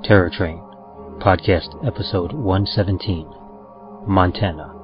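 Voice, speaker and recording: A man with a low voice delivers scripted podcast credits in a formal, measured announcer style. The sound is a little dull, with music playing clearly underneath. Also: steady tone. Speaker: podcast narrator reading credits.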